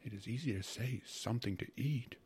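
A male narrator plays an anxious old mother in a storybook tale. She is meek and soft-spoken, with a lifted pitch and the air of someone long-suffering. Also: expressive, moderate pace, vocal fry, a little hiss or hum. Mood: horrified fretting.

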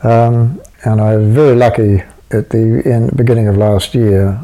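Low-ish mellow guy interviewed about his books, wanders and restarts a lot. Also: fairly even intonation, normal speed. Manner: rambling, many restarts and fillers. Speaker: interviewed writer. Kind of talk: casual interview.

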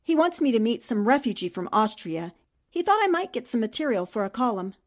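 Actress as plucky woman columnist for a vintage radio thriller, high voice, very animated intonation, earnest and theatrical. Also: fairly quick. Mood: breezy. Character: spirited, indignant female newspaper columnist heroine. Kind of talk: 1940s radio drama acting.

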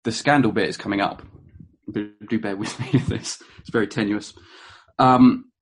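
A man's voice: fairly low pitch, fairly even intonation, moderate pace, slight background noise. A man giving an informal history talk to a room, full of ums and uhs, self-correcting and dropping wry asides. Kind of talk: informal spoken presentation to a live audience.

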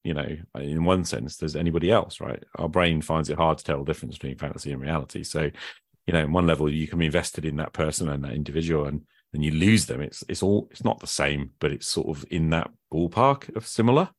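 A man's voice: rumbling low, fast, no echo. A man speaking as a podcast host in casual, reflective conversation, thinking aloud and often qualifying himself.

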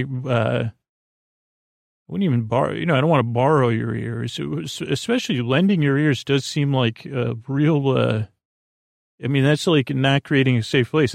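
A man with a creaky, gravelly voice speaks into a close, dry microphone. He hosts a bedtime podcast in a rambling monologue, stammering and doubling back on his phrases. His manner is gently reassuring, and his pitch sits in an ordinary middle range for a man. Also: dry recording. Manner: halting, meandering, stammering and circling back on phrases. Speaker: podcast host.

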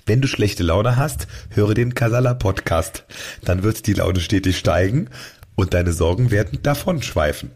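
A man's voice: lowish voice, expressive, moderate pace, a little mellow, slightly rough. A man co-hosting a podcast, chatting casually in a playful, teasing way with mock announcements. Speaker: podcast co-host. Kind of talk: casual joking podcast chat.

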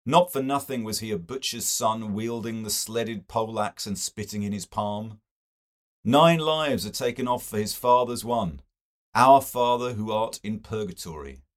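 A man's voice: fairly low pitch, measured pace, voice pushed up, close to an everyday voice. A man voicing a caustic young intellectual in an audiobook, declaiming with tingling energy. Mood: caustic, forceful.

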